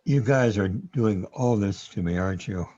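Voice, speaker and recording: A resigned, bewildered hero stuck in the afterlife, played by a man in a sci-fi radio play. The voice is husky and fairly low, and the sound is slightly muffled. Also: normal speed, warm tone, close to an everyday voice. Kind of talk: scripted sci-fi radio drama.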